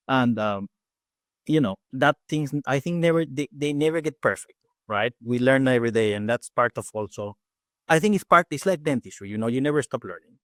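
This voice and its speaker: Fast-talking Peruvian man, close dry mic. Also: mid-range voice, animated, fairly bright tone, dry recording. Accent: Peruvian Spanish. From Peru. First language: Spanish. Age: 40s. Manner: talks fast, many restarts and fillers. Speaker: guest dentist and faculty. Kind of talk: casual interview chat.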